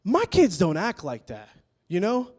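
Animated, chatty young male preacher. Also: high-pitched, measured pace. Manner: conversational and animated, jokes with the crowd. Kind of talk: sermon.

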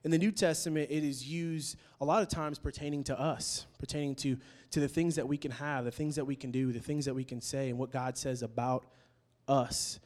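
A young man preaching a casual, animated sermon, joking with his listeners as he explains a word from the Bible. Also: mid-range voice, fairly quick. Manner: conversational and animated, jokes with the crowd.

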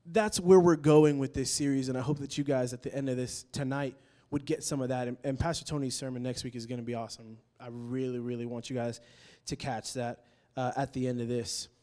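A young man preaching a casual, animated sermon, joking with his listeners as he explains a word from the Bible. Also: medium pitch, brisk, few pauses. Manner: conversational and animated, jokes with the crowd.

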